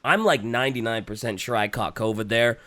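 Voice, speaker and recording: A man co-hosting a podcast, his voice raspy and congested from illness, talking in a rambling, hesitant way with many fillers. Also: mid-range voice, brisk, a bit bright. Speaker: podcast co-host. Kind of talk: casual podcast chat.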